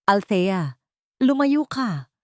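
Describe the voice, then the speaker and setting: A woman's voice: mid-range voice, sing-song, very slow, dry recording, clean studio sound, pitched above the performer's own voice. A woman voicing a desperate, protective young man in an audio drama, calling out urgently. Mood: fearful. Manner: urgent, shouting.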